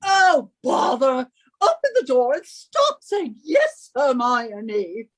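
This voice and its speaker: A woman's voice: high voice, wildly swooping pitch, takes it slowly, heavily acted. A woman playing an imperious, henpecking wife in a radio suspense drama, nagging and scolding with theatrical emphasis. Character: bossy henpecking British wife, shrill and imperious.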